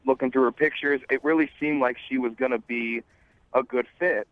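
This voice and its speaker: A man's voice: mid-range voice, normal speed, no room sound. A man calling a radio show, telling his story casually in an agitated, rambling way over the phone. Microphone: telephone. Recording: slightly dull phone call audio.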